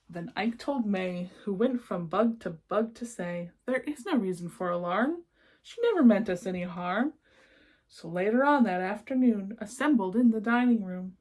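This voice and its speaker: A woman reading a picture book aloud expressively, with gentle, encouraging asides spoken directly to young listeners. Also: medium pitch. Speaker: children's read-aloud presenter.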